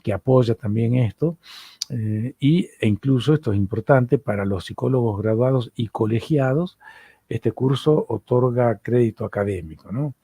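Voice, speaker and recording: Middle-aged Argentine male lecturer, dark gravelly voice, dry room, hesitant and repetitive delivery. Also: mid-range voice, normal speed, no echo. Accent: Argentine Spanish. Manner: hesitant, repeats words while searching for them. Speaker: psychoanalyst lecturer.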